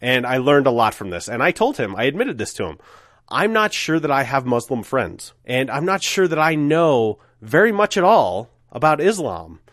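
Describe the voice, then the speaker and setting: A man's voice: medium pitch, very animated, moderate pace, a bit bright. A middle-aged male podcast host talks with a head cold, earnest and candid. His voice sits in an ordinary middle range, and the dry, close sound carries no room echo. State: has a cold. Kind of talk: casual podcast intro monologue.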